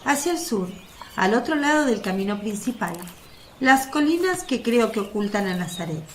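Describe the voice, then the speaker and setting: Woman narrator for a bible radio drama, highish voice, birds faintly behind. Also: expressive, moderate pace, a little hiss or hum. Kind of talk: scripted dramatic narration of a religious radio play.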